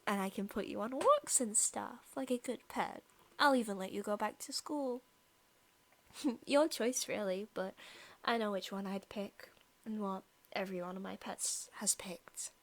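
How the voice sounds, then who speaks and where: A woman playing a possessive, sadistic young vampire in a softly spoken ASMR roleplay, teasing and casually menacing, at times slipping into whispers. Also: medium pitch, creaky. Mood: quietly threatening.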